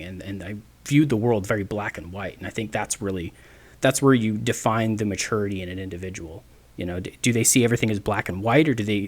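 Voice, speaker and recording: A man of twenty-nine hosting a solo podcast, talking in a rambling, self-deprecating way with many restarts. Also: fairly low pitch. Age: 20s. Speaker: podcast host. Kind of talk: casual solo podcast monologue.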